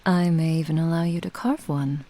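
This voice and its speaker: A woman playing a possessive, doting fox-spirit witch in an intimate ASMR roleplay, teasing in formal old-fashioned phrasing and often dropping into breathy whispers. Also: deep voice, fairly even intonation, moderate pace, mellow, close to an everyday voice. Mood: teasing, delighted. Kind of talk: ASMR roleplay, intimate scripted monologue to the listener.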